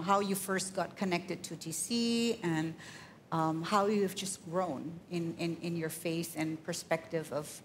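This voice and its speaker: A woman's voice: on the low side, slight background noise. A woman moderating a church panel, affirming and conversational, on a handheld mic in a large hall. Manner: warm affirming, conversational with fillers.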